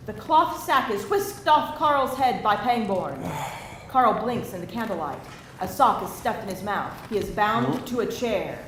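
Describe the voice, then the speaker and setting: A woman narrating stage directions from a script at a live table read. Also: on the high side. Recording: echoey room with faint hiss.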